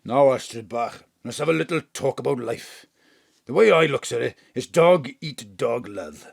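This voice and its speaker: Thieving Welsh father, audio drama, cynical, colloquial, lively swooping pitch, gravelly. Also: moderate pace, no echo, studio quality. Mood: streetwise, lecturing. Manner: colloquial, blustering, streetwise lecturing. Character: roguish thief father, cynical, selfish, proud of stealing.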